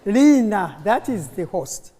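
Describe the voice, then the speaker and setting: A mature Ugandan woman with a Ugandan accent and Luganda as her first language, giving an informal conference speech as an animated storyteller who prompts her listeners with questions. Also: mid-range voice, slow. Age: middle-aged or older. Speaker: conference speaker. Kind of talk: informal conference speech with audience call-and-response. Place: conference hall, live talk to an audience. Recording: echoey hall, long reverb.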